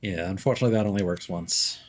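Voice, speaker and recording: A man's voice: low-pitched, fast, a little hiss or hum. A man giving casual, dry live commentary while streaming a video game.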